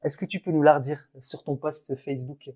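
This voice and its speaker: A man's voice: fairly high pitch, fairly even intonation, a little fast, warm tone, husky. A man co-hosting a casual motivational podcast, talking in an earnest, encouraging way with frequent restarts. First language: French. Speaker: podcast co-host.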